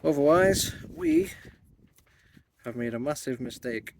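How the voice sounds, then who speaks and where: Croaky-voiced man working on bonsai. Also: a little high, huge pitch swings, unhurried, a little hiss or hum. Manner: thinking aloud as he works. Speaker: bonsai channel host. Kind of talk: casual hands-on tutorial talk.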